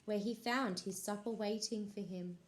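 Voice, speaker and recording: A woman, a school librarian, reading a picture book aloud to children in a slow, expressive voice. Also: mid-range voice, slight background noise, poor audio.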